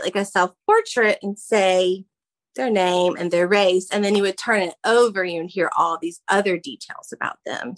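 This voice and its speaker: A woman in her thirties, a former teacher and mother, answering interview questions in an earnest, animated way. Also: medium pitch. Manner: earnest and animated, warm emphasis on key phrases. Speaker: guest, former teacher and mom.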